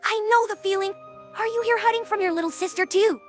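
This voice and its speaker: A woman's voice: extremely high pitch, fast, faint music behind. A woman voicing a brash, loyal young female pegasus pony with a youthful, slangy, cocky delivery in a cartoon audio drama. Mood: teasing. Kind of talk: animated cartoon-style audio drama dialogue.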